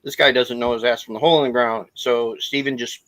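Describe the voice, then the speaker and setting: A man in his forties talks loosely and off the cuff, joking as co-host of a casual podcast. His voice is bright and sharp. It is recorded dry and close. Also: mid-range voice, fairly even intonation, brisk, no echo, poor audio. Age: 40s.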